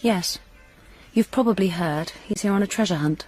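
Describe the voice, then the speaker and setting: An actress playing a cool, worldly young kept woman in a radio drama, guarded and blunt, with a husky voice. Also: medium pitch, moderate pace, darkish tone, faint music behind, lightly acted. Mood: coy, guarded.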